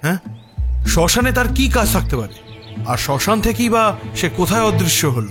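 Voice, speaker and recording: An actor playing a detective's male companion in an audio story, teasing and doubtful, with an animated, questioning delivery. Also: high-pitched, normal speed. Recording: music behind the voice.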